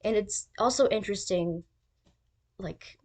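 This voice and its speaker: Woman, video essayist, unhurried pace, middle-range pitch, informal, tentative, thinking aloud. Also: a little mellow. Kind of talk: casual theory commentary.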